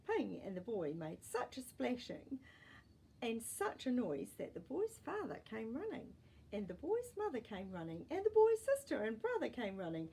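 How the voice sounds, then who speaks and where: A woman storyteller reading a children's story expressively, with deliberate pauses and dramatic emphasis. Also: a little high, huge pitch swings, mellow, slightly rough. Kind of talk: expressive storybook narration with asides to young listeners.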